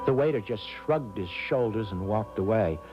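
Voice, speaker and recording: Man playing a timid, stammering young traveler, very animated. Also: fairly low pitch, normal speed, lightly acted. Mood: shocked narration. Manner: stammering, hesitant, fretful. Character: timid, anxious, easily flustered young American traveler. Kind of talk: theatrical radio drama acting with first-person narration. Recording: dull vintage radio recording with music.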